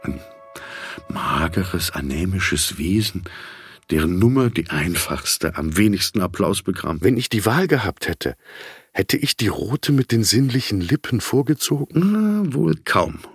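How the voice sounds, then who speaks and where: Male audiobook narrator: deep register, measured and reflective, unhurried delivery, faint music behind. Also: sing-song, husky, no room sound, studio quality. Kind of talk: scripted literary narration.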